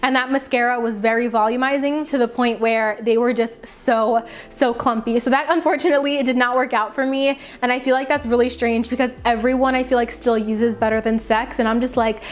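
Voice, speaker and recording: A woman beauty vlogger giving a casual, chatty product review to camera, emphatic and conversational, her voice slightly raspy and tired from talking. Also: on the high side, fairly even intonation, a little fast, few pauses.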